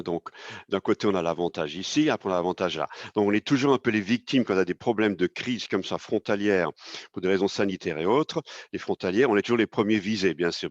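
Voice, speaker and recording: A man's voice: low voice, no echo, muffled recording. A man taking part in an online discussion, talking conversationally and often adding "hein". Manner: conversational, frequent tag "hein". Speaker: participant. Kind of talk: casual discussion.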